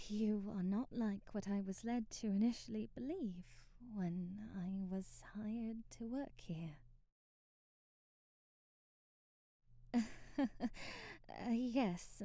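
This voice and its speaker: A woman playing a timid, devoted maid in an ASMR roleplay, babbling hesitantly and apologetically in formal old-fashioned wording, with a breathy voice that often drops to a whisper. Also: medium pitch, dark tone, dry recording, clean studio sound. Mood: shy, admiring.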